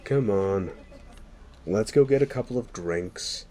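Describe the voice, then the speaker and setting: A man acts out a sleazy male werewolf boss for an audio roleplay, speaking straight to the listener. The voice sits low and moves very slowly, drawling each jab. He is sardonic and bullying, with a gruff, flirtatious edge. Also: lively intonation, dark tone.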